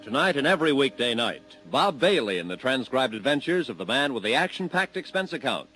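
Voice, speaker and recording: Big-voiced old radio announcer guy, music swelling loud behind him. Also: very animated, fairly quick, low-quality recording. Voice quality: booming, declamatory. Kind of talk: 1950s radio show announcing.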